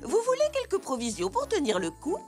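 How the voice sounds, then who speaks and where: Zebra granny for a kids cartoon, high n very sing-song, kindly and fussing, bit of music. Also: female voice, wildly swooping pitch, fairly quick, creaky, exaggerated voice. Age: older figure. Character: kindly, bemused zebra grandmother in a preschool cartoon. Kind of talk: preschool cartoon dub.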